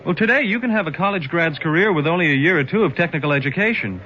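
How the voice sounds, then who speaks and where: An actor playing an eager, earnest young man pitching career training in a radio public service ad. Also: lively intonation, fast, pushed and bright. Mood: earnest, upbeat. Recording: muffled 1970s radio broadcast.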